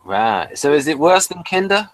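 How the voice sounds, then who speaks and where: A man's voice: a little high, very animated, moderate pace, no room sound. Dry-sounding man hosting a review show. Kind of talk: reading an episode synopsis, then prompting discussion.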